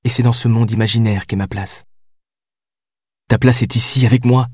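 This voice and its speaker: A man's voice: medium pitch, steady tone, moderate pace, husky, dry recording, close to an everyday voice. A man reading an audiobook voices a passionate, heartbroken young woman who pleads and cries out. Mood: resigned, gentle. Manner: pleading, then shouting through tears. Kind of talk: audiobook character voice.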